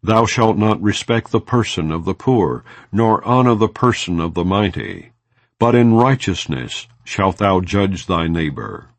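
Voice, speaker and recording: Low voiced guy reading bible audiobook, slow and solemn, formal, really dry close recording. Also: gravelly, no room sound. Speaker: audiobook narrator. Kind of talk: scripted scripture reading, non-dramatized.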